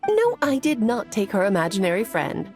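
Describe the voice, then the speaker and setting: A woman's voice: mid-range voice, wildly swooping pitch, normal speed, over loud music. An actress playing a playful, theatrical grown witch in a video game, eloquent, airy and teasing. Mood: measured, explanatory. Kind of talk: video game cutscene acting.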